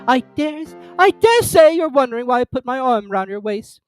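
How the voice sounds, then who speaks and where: Brief: reader playing a clingy, moralizing duchess, high and sing-song. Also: sing-song, moderate pace, no echo, faint music behind. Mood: gushing. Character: ugly old duchess, fawning, moralizing, clingy.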